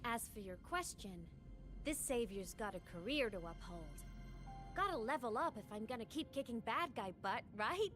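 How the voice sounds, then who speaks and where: High-voiced plucky young game heroine. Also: female voice, very animated, normal speed. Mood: teasing, upbeat. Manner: teasing, breezy slangy banter. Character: plucky young heroine, cheeky, brave and kindhearted. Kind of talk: video game character acting. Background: game music.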